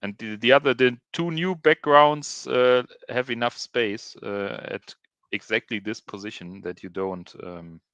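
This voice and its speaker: Halting man, bright clear voice. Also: mid-range voice, dry recording. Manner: halting, many restarts and ums. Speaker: podcast co-host. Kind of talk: casual chat.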